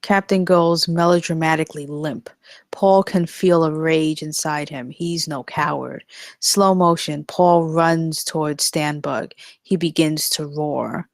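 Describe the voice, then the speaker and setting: Low-voiced woman reading stage directions. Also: steady tone, a little slow, no echo, clean studio sound. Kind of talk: scripted narration at a live comedy table read.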